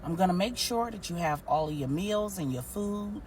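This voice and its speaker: Older matriarch for an audio story, played by a female storyteller: proud, firm, folksy, low-set voice. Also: lively intonation, darkish tone, a little hiss or hum, lightly acted. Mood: reassuring. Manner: blunt, folksy, measured.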